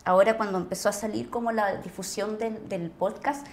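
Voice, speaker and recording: A middle-aged Chilean woman, an actress, talking casually and effusively as an interview guest, often pausing to search for words. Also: medium pitch, fairly even intonation. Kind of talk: casual conversation, reflecting on acting work.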